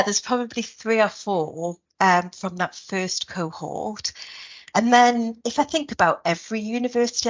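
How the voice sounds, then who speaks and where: Brief: middle-aged Geordie woman, academic leader, reflective and measured, slightly muffled recording. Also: mid-range voice, a bit bright. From Newcastle, north of England. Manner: thoughtful, frequent ums and restarts. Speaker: head of a university school, guest. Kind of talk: reflective interview answers.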